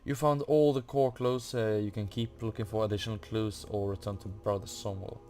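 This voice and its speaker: A man's voice: lowish voice, steady tone, moderate pace, a little mellow. A man giving a casual game walkthrough, hesitantly muttering to himself and reading text off the screen. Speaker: gaming video host. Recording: faint noise from a fan. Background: computer or room fan.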